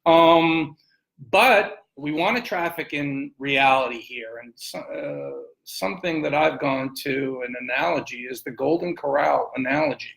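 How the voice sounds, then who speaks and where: A man hosting a sports talk show, giving opinions in an emphatic, colorful, rambling way with dramatic pauses. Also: a little high, animated, gravelly, a bit bright, low-quality recording.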